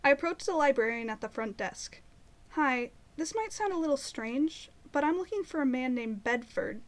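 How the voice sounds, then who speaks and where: Actress doing curious determined young woman, high voice, chatty audio drama storytelling. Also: lively intonation, moderate pace. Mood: wry, curious. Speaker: narrator and lead actor of an audio drama. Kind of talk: audio drama narration and dialogue.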